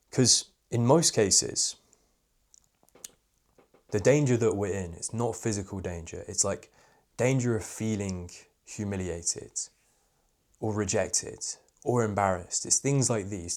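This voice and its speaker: A young man talks straight to camera about social confidence, in a candid, reflective way. His voice sits a bit low and fairly monotone, loosened by frequent "like" fillers. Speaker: social skills coach. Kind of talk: casual talking-head advice video.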